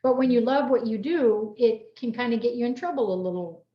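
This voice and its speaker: A woman's voice: fairly high pitch, fairly quick. Female host, encouraging, casual interview chat, echoey room, slightly muffled sound. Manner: encouraging, affirming listener. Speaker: host moderating a group chat.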